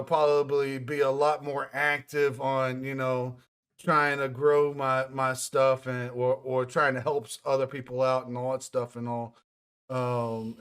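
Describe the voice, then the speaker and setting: A man's voice: medium pitch, a bit bright, clear, resonant tone. A man in his twenties hosting a podcast, talking in a loose, rambling way with lots of filler words. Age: 20s. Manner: rambling, many fillers and restarts. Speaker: podcast host. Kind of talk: casual chat.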